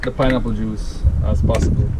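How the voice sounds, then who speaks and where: A man's voice: lowish voice, fairly even intonation, a little fast. Easygoing Jamaican man, noisy room. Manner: rambling, self-correcting, easygoing. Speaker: home cocktail vlogger. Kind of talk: casual step-by-step recipe demo.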